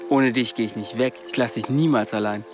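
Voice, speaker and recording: A man's voice: medium pitch, fairly even intonation, fairly quick, over music, close to an everyday voice. An actor playing an earnest, devoted and protective boy in a radio play, speaking tenderly. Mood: hopeful, devoted.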